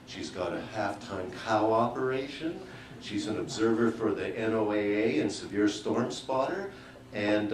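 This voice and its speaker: Lowish-voiced man hosting in an echoey room. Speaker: host introducing a reader. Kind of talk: informal spoken introduction. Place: live book launch in an indoor venue. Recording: echoey live room, distant mic, faint noise.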